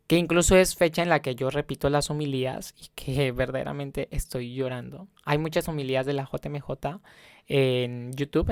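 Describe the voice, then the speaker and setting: A man's voice: mid-range voice, smooth, no echo, studio quality. A young man telling a casual travel vlog story, rambling with many fillers and self-corrections. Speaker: travel vlogger.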